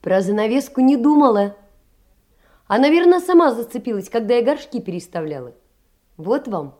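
An actress playing a poor, lonely young seamstress in an audio play, tender and emotional, often dropping to a breathy whisper. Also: female voice, a little high, sing-song, moderate pace, darkish tone. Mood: tender, playful reproach. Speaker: stage actress. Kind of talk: theatrical audio-play monologue of letters.

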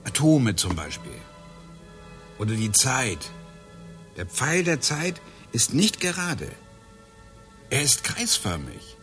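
Radio drama: a man plays a brooding, musing science obsessive, average pitch, animated intonation, faint score behind. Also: slow, long pauses, soft background music. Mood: thoughtful. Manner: musing, explaining, drifts into whispers. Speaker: actor in a radio play.

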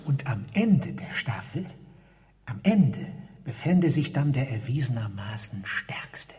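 A man's voice: mid-range voice, very animated, slow, a little mellow. A pleading, fearful man in reverberant space. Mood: solemnly theorising. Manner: formal, stilted, pleading diction. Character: fearful, self-abasing male car park attendant, pleading and grandiose. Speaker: actor in a radio play. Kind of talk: theatrical German radio drama dialogue. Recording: long hall reverb.

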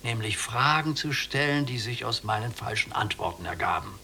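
An actor playing a blind, resigned old prisoner in a radio play, speaking in terse, cryptic aphorisms. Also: male voice, medium pitch, animated, moderate pace, sharp tone, slight background noise. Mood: cryptic, weary. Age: older. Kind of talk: literary radio play acting.

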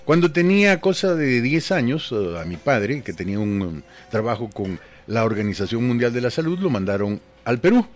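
A man's voice: mid-range voice, lively intonation, moderate pace, few pauses, soft background music. A man, a radio DJ guest telling rambling stories about his life and acting out the people in them. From Guatemala. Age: 60s. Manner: rambling anecdotal storyteller, voices quoted people. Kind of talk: casual radio interview storytelling.

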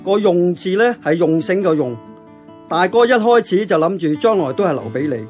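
A man's voice: high-pitched, animated, moderate pace, darkish tone. A man playing a nostalgic, stubborn older uncle who runs a café in a radio drama, lecturing in homely talk with a Hong Kong accent. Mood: grave, stubborn. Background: plucked guitar music.

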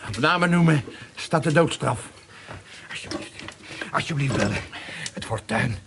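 An actor playing a cowardly, servile informer who pleads and grovels in a radio drama. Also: male voice, medium pitch, expressive, normal speed, background noise, natural voice. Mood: desperate pleading. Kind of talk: Dutch radio drama acting.

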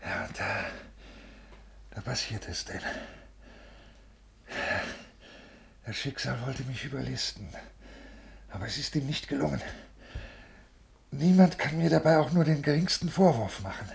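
A stiff, agitated bureaucrat in a 1950s radio drama. His mid-pitched voice is gravelly, and he speaks in halting, formal, pleading phrases. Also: lively intonation. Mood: defensive. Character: stiff, agitated male civil servant with diabetes, pleading. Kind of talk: 1950s German radio play acting. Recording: 1950s radio studio recording.

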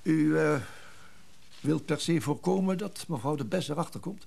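An actor playing a discreet, formal financial go-between, hesitant and polite, in a naturalistic radio drama. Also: male voice, fairly high pitch, normal speed, creaky, a little mellow. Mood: careful, explaining.

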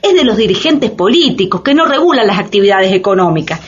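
A woman's voice: medium pitch, very animated, brisk. A woman arguing earnestly in a scripted radio discussion. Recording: dull radio recording.

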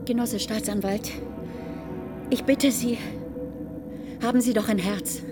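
Pleading, high-voiced old grieving mother. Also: steady tone, takes it slowly, over music. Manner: imploring, emotional. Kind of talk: German radio drama acting.

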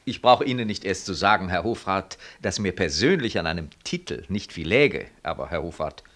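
An actor playing a vain, fawning married professor in a German radio play, with a jovial, gushing manner. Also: male voice, low-pitched, expressive, moderate pace, fairly bright tone. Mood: jovial, wheedling. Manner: gushing, jovial, ends remarks with "Wie?".

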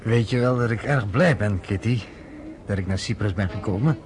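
An actor playing a calm, worldly journalist who talks persuasively with a wry edge, in a radio play. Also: male voice, lowish voice, normal speed, a little hiss or hum, lightly acted.

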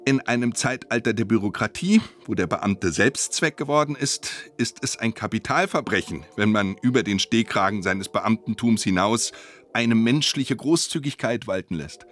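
An actor in a German radio play playing an agitated official, speaking emphatically and indignantly. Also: male voice, lowish voice, animated, normal speed, fairly bright tone, faint music behind. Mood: indignant, emphatic.

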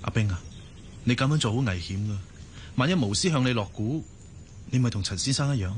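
A man's voice: mid-range voice, expressive, a little fast, a little hiss or hum, close to an everyday voice. A man acting in a Hong Kong Cantonese radio drama as an earnest young hospital doctor who is evasive and hesitant. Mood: concerned, earnest. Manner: hesitant, stammering.

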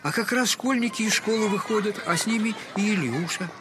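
A man's voice: sits high, fairly quick, very noisy, lightly acted. An actor in an audio drama playing a humiliated, buffoonish middle-aged poor father who talks in a servile, wordy, self-mocking way. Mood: agitated, wounded. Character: humiliated, buffoonish impoverished father, bitter and tender. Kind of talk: Russian literary audio drama acting.

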